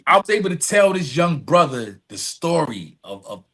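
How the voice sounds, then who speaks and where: A middle-aged man with a slightly high-pitched voice speaks as a guest in a conversational interview. He is earnest and emphatic, repeating key phrases and tagging his points with a quick "right?". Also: very animated, fairly bright tone. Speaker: podcast guest, nonprofit executive director.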